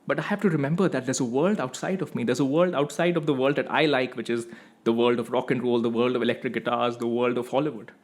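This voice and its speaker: A man's voice: medium pitch, fast. A man from India, hosting a music channel and explaining his opinion step by step in an emphatic monologue.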